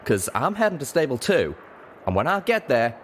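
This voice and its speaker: A man's voice: mid-range voice, very animated, moderate pace, a bit bright, slight background noise, voice pushed up. A man voicing a folksy, blunt stallion pilot with a drawl and a fighting temper. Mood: menacing.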